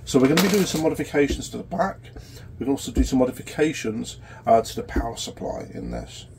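A man in his forties with a cold and a sore throat, hosting a casual workshop tutorial in a small electronics workshop, explaining in a halting way with many fillers. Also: mid-range voice, lively intonation, gravelly, noisy background. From United Kingdom. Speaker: electronics repair channel host.

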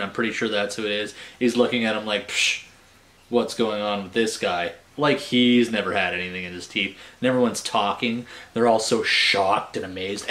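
A man's voice: on the low side, a bit bright. Man reading bedtime stories in an echoey room. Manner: playful, conversational, theatrical. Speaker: storyteller reading picture books aloud. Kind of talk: bedtime story reading with casual asides. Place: small furnished room at home, indoors. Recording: roomy home recording with clear background hiss.

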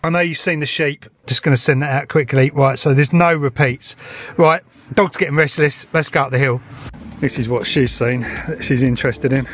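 A man's voice: medium pitch, steady tone, normal speed, a bit bright. A middle-aged man with an English accent giving casual handheld vlog commentary, informally thinking aloud. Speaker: vlogger. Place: parked car and open grassy hilltop outdoors. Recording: clear ambient noise on location.

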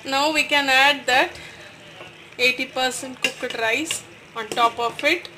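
A woman's voice: sits high, fairly even intonation, slow pace, noisy background. A woman, giving step-by-step recipe instructions as a voice-over in a home kitchen. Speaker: cooking channel host.

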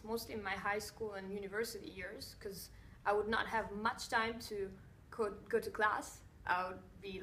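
A woman in her twenties from the part of Switzerland, answering fan questions to camera in a composed, measured way with small pauses. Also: mid-range voice, a bit bright, slight background noise. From Italian-speaking Switzerland. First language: Italian and Russian. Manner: composed, measured, slight non-native phrasing with filler pauses. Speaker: blogger and TV presenter. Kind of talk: direct-to-camera Q&A. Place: bright indoor room with wooden floor, seated in chair. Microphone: camera a few feet away.

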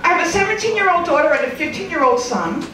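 A woman comic in her forties works a club stage with a handheld mic, her high voice swooping up and down as she delivers wry, deadpan punchlines. The sound is roomy and picked up from well back in the club, with audience laughter and room noise around her. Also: quick pace, recorded from a distance. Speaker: stand-up comedian. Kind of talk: stand-up comedy set.

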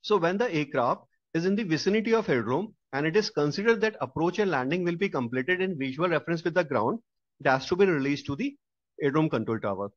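Guy going through training slides, a bit high voice, dull dry lo-fi mic. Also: animated, quick pace, dry recording. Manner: explanatory, with hesitations and repeated restatements. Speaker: aviation instructor. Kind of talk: slide-based tutorial narration.

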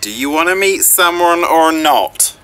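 An actress as a wise, aged genie helper in an audio play. The voice sits a little low and nudges persistently in a matter-of-fact way. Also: female voice, lively intonation, measured pace, fairly bright tone. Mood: coaxing, insistent. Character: wise old genie AI matchmaker, persistent and coaxing. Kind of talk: audio drama acting.

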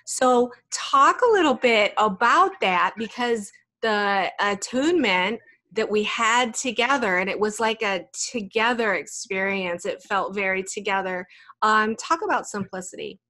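A woman's voice: mid-range voice, unhurried, bright, forceful tone. A middle-aged woman hosting a casual conversational interview from home. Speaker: interview host. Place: room at home. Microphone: desk microphone close in front.

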